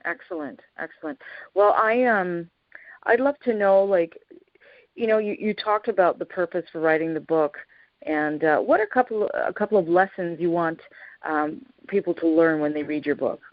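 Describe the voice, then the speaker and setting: A woman's voice: on the low side, no room sound. Upbeat woman hosting a radio show. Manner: brisk, upbeat introductions with fillers. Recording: dull lo-fi radio sound.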